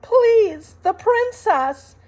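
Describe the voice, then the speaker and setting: A woman's voice: very high voice, lively intonation, drawn-out words, darkish tone, lo-fi sound, voice pushed up, full character voice. A woman voicing a small, frightened storybook mouse who cries out and pleads tearfully. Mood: tearful pleading. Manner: crying out, pleading.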